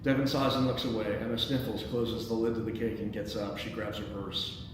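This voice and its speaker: A man's voice: lowish voice, little pitch movement, brisk. A man hosting a screenplay table read, reading out and commenting, often hesitating and repeating words as he thinks aloud. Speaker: host and stage-direction reader of a screenplay table read. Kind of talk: reading action lines and giving feedback to a group. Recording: very echoey hall, distant mic, noisy.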